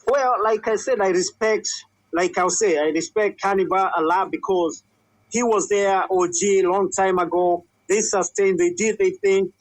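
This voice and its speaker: A man's voice: sits high, steady tone, normal speed, no room sound, rough recording. A man from Kenya, a musician and comedian, answering interview questions earnestly and repeating his advice for emphasis.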